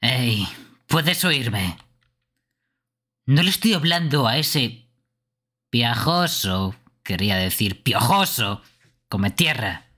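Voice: medium pitch, expressive, takes it slowly, long pauses, pushed and bright, heavily acted. A performer voicing a brash, foul-mouthed young male killer in a horror game, blunt and aggressive. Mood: threatening.

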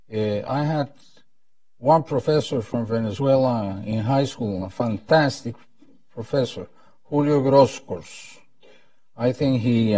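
A man's voice: medium pitch, warm tone, no room sound, distant mic. A man in his seventies with a Costa Rican Spanish accent, giving a formal, solemn welcome speech as event host. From Costa Rica. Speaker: event host, former ambassador. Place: indoor conference room at a lectern. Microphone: lapel mic.

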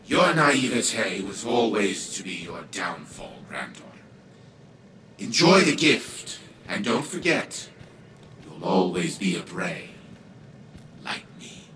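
An actor playing a proud, cold old patriarch turned giant AI in a video game, pompous, theatrical and condescending. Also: male voice, deep voice, very animated, takes it slowly, crowd noise, full character voice, low-quality recording. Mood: scornful. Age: older. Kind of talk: dramatic video game acting.

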